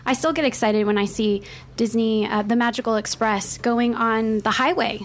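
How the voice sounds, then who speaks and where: A woman's voice: on the high side, fairly even intonation, moderate pace. A woman chatting casually and enthusiastically as a panelist on a podcast. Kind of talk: casual chat.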